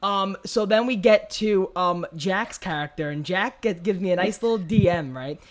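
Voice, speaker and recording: A man's voice: high-pitched, normal speed. Casual, foul-mouthed man hosting a podcast. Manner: profane, repeats words and phrases. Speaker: podcast host.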